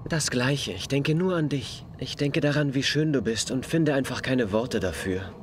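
An actor playing a lovestruck, smooth-talking alien villain in an anime dub, romantic and theatrical. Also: male voice, medium pitch, normal speed, a little hiss or hum, close to an everyday voice. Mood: amorous. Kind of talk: German anime dub acting.

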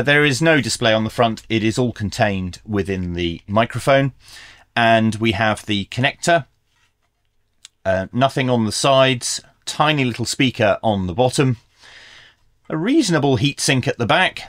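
A middle-aged man hosts a product review in a medium-pitched voice. His intonation moves up and down a lot as he presents. The sound is dry, with very little room echo. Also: a bit bright. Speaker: YouTube host reviewing radio gear. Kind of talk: scripted product review with hands-on commentary. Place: small indoor electronics workshop.

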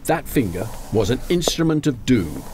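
A man's voice: mid-range voice, big pitch swings, moderate pace, natural voice. A man with a British accent playing a fussy, resentful ageing commuter narrating a radio drama in a prim, theatrical way. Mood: bitter reminiscence. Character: fussy, resentful ageing English commuter haunted by school bully. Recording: noisy amateur radio drama recording.

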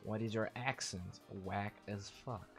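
A young man with a slightly low voice chats away while streaming a game, thanking viewers and thinking out loud as he plays. His comes through as he rambles casually into a so-so mic. Faint game music and keyboard clicks sit in the background. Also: fairly even intonation, a little slow, vocal fry. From Brazil. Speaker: streamer and caster.

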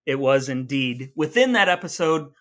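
A man's voice: mid-range voice, lively intonation, normal speed, fairly bright tone. A man co-hosting a podcast in a conversational, lightly scripted style, with a wry, mock-formal and wordy way of talking. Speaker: podcast co-host.

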